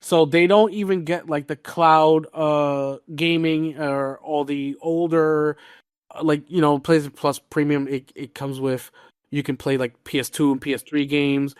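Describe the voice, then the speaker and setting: A man with a slightly high voice presents gaming news as a podcast host. He talks casually and leans on words for emphasis. The recording is dry and close. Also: little pitch movement, a little slow, smooth, no room sound.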